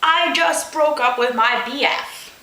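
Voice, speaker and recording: A woman's voice: high voice, a little slow, sharp tone, roomy sound, pitched above the performer's own voice, exaggerated voice, poor audio. A woman voicing a melodramatic, sassy lovesick teenage girl in a comic story reading, overwrought and pouty.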